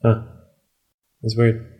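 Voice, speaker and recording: A man's voice: extremely slow, dark tone. Man musing aloud in casual podcast chat. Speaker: podcast co-host playing along with the story.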